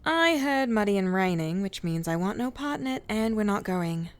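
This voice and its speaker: A woman's voice: mid-range voice, expressive, normal speed, close to an everyday voice. A woman voicing a crude, reluctant, hungover adventuring woman who mumbles grumpily in an audiobook. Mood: grumpy, reluctant. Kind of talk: audiobook character acting.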